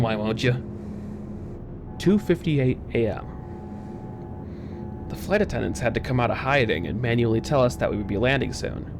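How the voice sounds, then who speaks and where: A man narrating a first-person horror story in a dramatic, tense, expressive way with pauses for suspense. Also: mid-range voice, noisy background. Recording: eerie music under the voice. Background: background music.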